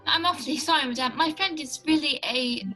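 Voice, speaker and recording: Genial older doctor sidekick, played by a woman: high bright voice, gallant, earnest, radio drama. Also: brisk, soft background music. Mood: amazed. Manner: gallant, earnest, easily amazed. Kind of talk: 1940s radio play acting.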